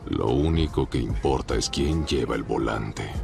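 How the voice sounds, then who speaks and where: A man's voice: rumbling low, a little slow, vocal fry, over music, full character voice. A Mexican-accented man playing a stern, stoic tough guy in a dub. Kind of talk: dubbing acting.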